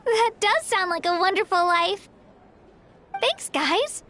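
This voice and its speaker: A woman's voice: very high voice, lively intonation, takes it slowly, sharp tone, exaggerated voice. A woman voicing a cheerful, kindhearted young girl in a video game, with a sweet, girlish voice and an earnest, upbeat manner. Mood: bright, sincere. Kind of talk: video game character acting. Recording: music behind the voice.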